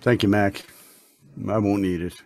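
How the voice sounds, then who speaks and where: A man playing a solemn, gentle, idealistic young intern doctor in old-time radio style, with formal, earnest phrasing. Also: fairly low pitch, fairly even intonation, measured pace. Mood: calm, firm.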